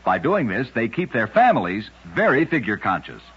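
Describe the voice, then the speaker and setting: A man tells scripted curiosities as an old-time radio narrator. His voice is husky and rises and falls in lively, dramatic, measured phrases. The sound is that of a dull, aged radio recording. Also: lowish voice.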